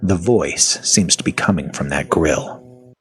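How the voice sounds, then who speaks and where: An actor playing a weary, sardonic captive who narrates his own horror story in wry, deadpan, clipped phrases with a husky voice. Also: deep voice, slow pace, full character voice. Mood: wry narration. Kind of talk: first-person audio fiction narration.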